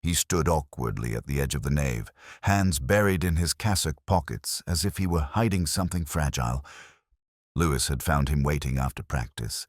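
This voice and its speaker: A man narrating a detective mystery in the first person, with a measured, brooding, literary delivery. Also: very deep voice, dry recording, studio quality.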